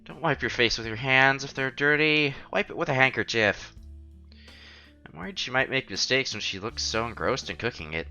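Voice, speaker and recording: A man streaming a visual novel, reading the story aloud with casual, playful, expressive commentary. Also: medium pitch, moderate pace. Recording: dull lo-fi sound, music behind.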